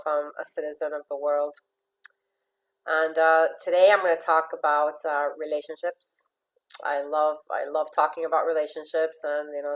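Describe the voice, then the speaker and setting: Woman from Venezuela, low-pitched voice; a life coach giving a friendly motivational talk, repeating words and laughing lightly. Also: low-quality recording.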